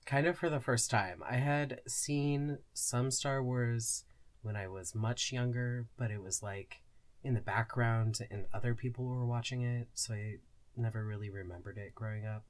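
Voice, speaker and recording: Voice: mid-range voice, steady tone, normal speed. A podcast co-host in casual conversation, talking in a hesitant, thoughtful and self-effacing way.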